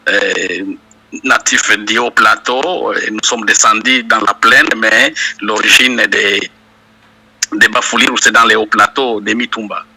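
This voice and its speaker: Slow, bright-voiced man. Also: lowish voice, fairly even intonation, husky, poor audio. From DR Congo, South Kivu. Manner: slow, hesitant, many fillers. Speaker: interview guest, university lecturer. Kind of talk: interview answers.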